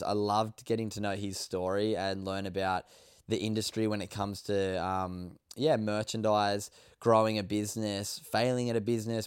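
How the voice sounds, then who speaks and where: A man's voice: lowish voice, clear, resonant tone. A young Australian man hosting a casual podcast from his bedroom studio, upbeat and chatty with slangy asides. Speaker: podcast host.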